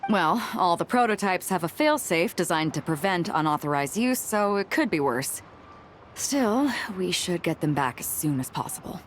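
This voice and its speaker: A woman acting a composed, shrewd, commanding woman in a video game, with a cool, self-assured and measured manner. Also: lowish voice, expressive, noisy background, lightly acted. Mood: composed concern.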